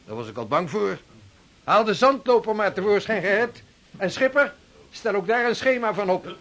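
Severe high-voiced ship's officer. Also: male voice, animated, moderate pace, a bit bright. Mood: grave. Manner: formal, declamatory, authoritative. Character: severe, formal ship's officer and expedition leader. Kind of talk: radio drama acting.

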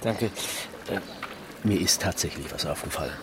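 A man's voice: deep voice, normal speed, noisy background. A man playing a persistent police detective in a German radio crime drama, reasoning and questioning insistently. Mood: thoughtful.

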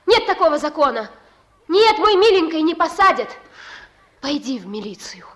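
A high-voiced young woman in love in a Russian radio play. She is devoted and stubborn, and speaks in plain colloquial phrases that both plead and insist. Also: big pitch swings, normal speed. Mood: firm, coaxing. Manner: pleading yet resolute, colloquial. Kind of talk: Russian radio drama acting.

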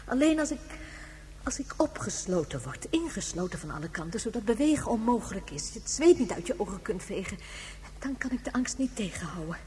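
Actress doing gentle ancient lady in a space radio play, reminiscing, big echo, some hum behind. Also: mid-range voice, very animated, a little fast, natural voice. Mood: confiding. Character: very old woman, 197 years, reflective and gentle. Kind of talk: Dutch science fiction radio drama acting. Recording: hollow long reverb, humming background noise.

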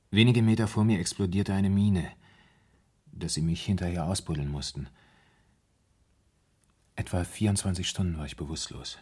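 A red-haired former soldier in a radio play, confides his troubled story with earnest deference. The voice is deep and husky, told like a personal account. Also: darkish tone.

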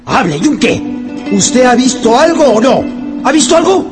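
An actor playing a stern, pompous schoolteacher who scolds formally in a radio drama. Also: male voice, very high voice, sing-song, moderate pace, over music. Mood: stern questioning. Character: stern male schoolteacher, pompous, favouring the rich pupil.

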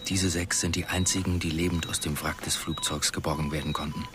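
An actor in a radio drama playing a sober, baffled official who speaks hesitantly and gravely. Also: male voice, low-pitched, steady tone, brisk, noisy background, close to an everyday voice. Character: grave, baffled male official breaking strange news.

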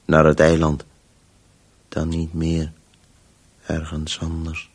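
An actor playing a weary, urgent disembodied voice in a radio play, speaking in breathless, halting fragments with a hushed, husky tone. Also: male voice, a bass voice, completely flat delivery, heavily acted. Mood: urgent, murmuring. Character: disembodied male Voice, obsessively chasing a story, weary and urgent.

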